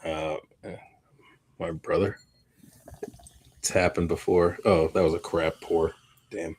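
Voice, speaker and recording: A man with a fairly low voice chats as co-host of a live podcast. His delivery is informal and conversational, and he tends to ramble, backing up to correct his wording as he explains things. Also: flat delivery, slow pace, a little mellow, a little hiss or hum. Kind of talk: casual live podcast chat.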